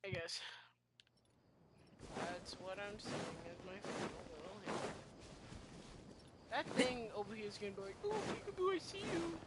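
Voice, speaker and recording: A woman's voice: mid-range voice, expressive, a little slow, pauses often. A young woman commenting casually while playing a game, in a playful, slangy, rambling manner. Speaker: gameplay streamer. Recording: loud noise behind the voice.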